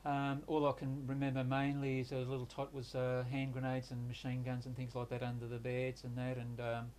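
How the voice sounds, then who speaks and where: Halting older man, flat even voice. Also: medium pitch, slight background noise. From Hungary, raised in Australia. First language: Hungarian. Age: 60s. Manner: halting, hesitant, many pauses and restarts. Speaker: interviewee recounting his life. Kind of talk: reflective life-story interview.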